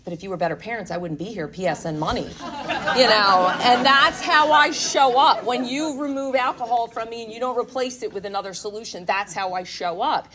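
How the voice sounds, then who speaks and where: A woman's voice: fairly high pitch, brisk, crowd noise. A woman speaking at a recovery workshop, giving an earnest, confessional personal testimony full of "like" and "you know". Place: workshop meeting room.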